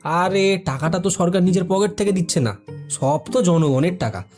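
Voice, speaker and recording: A man playing a streetwise, money-minded but warm-hearted helper in an audio story, talking in slangy, familiar banter. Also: sits high, animated, normal speed, soft background music. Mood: cheeky, persuasive. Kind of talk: audio story character acting.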